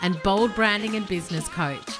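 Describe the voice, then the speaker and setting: A woman's voice: mid-range voice, moderate pace, over music. A woman hosting a business podcast, talking with bubbly enthusiasm as she introduces her guest. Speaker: podcast host.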